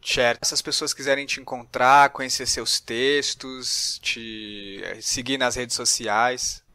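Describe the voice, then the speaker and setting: A Brazilian man hosts a relaxed, conversational podcast interview. His voice is clearly bright and crisp-edged, at an average pitch for a man. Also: unhurried. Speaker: podcast host. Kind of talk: casual interview.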